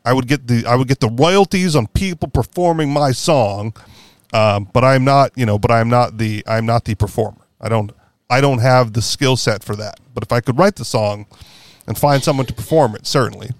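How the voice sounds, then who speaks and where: A man's voice: medium pitch, normal speed, no room sound. A man hosting a podcast in casual political chat, rambling and correcting himself with a wry tone. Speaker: podcast host.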